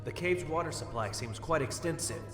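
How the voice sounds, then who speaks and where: Man as a measured, dry Jedi storyteller. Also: on the high side, over music, lightly acted. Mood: matter-of-fact. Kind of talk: comic dub narration.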